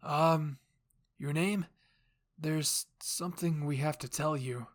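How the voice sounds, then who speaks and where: A man's voice: fairly high pitch, fairly even intonation, takes it slowly. A man playing an earnest, anxious young male soldier in an anime fan story, explaining things seriously. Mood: grave.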